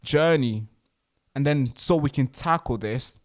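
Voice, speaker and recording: A man's voice: medium pitch, a little slow. A man hosting an online talk show, speaking in a loose, emphatic way and laughing at his own remarks. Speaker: host. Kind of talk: casual interview talk.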